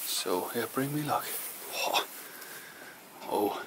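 Slow-talking man exploring. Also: mid-range voice, animated, heavy background noise. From Denmark. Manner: musing, halting, talks to himself while exploring. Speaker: urban explorer. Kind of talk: casual walkthrough narration. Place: abandoned house and barn, indoors and outdoors.

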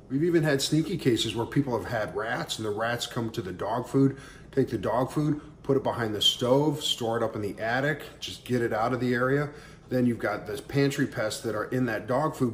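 A man's voice: mid-range voice, roomy sound, a little hiss or hum. A man in his forties, a pest control business owner, giving plainspoken, friendly how-to tips to camera in a home kitchen. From Florida. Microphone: camera or phone at arm's length.

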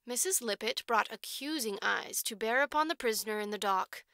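A woman's voice: fairly high pitch, expressive, moderate pace, bright, forceful tone, dry recording, studio quality. A woman reading literary fiction as an audiobook narrator, in scripted storytelling style.

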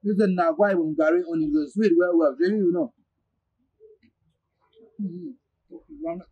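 A man's voice: sits high, measured pace, breathy edge, phone-quality sound. A Nigerian man playing a cheeky, argumentative younger car-wash worker in a comedy drama, contrary and insistent. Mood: grumbling, teasing.

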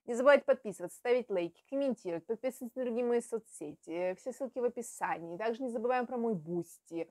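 A woman's voice: a little high, animated, fast talker, few pauses, a little mellow, dry recording. A young woman reacting casually on camera. She chats informally and swears freely. The sound is dry and close, as from a small room at home. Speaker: host of reaction videos.

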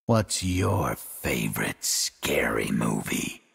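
A man voicing a sinister, taunting masked slasher killer for a video game, with a gravelly, husky voice and drawn-out menace. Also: very deep voice, sing-song, fairly bright tone, long reverb tail, full character voice. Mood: sinister playful. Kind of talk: video game villain voice lines.

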